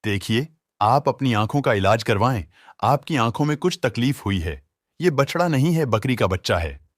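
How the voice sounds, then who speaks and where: A woman's voice: very deep voice, lively intonation, normal speed, no echo, studio quality, pitched below the performer's own voice. A woman voicing an indignant, short-tempered male priest, protesting in a storybook tale. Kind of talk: storybook character voice.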